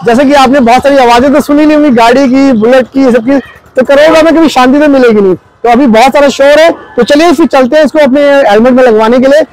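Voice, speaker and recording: A man's voice: extremely high pitch, quick pace, a little mellow, rough recording. A man, explaining a product to camera in a vlog review, mixing in English technical words. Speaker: motorcycle gear vlogger. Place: outdoors on a busy market street.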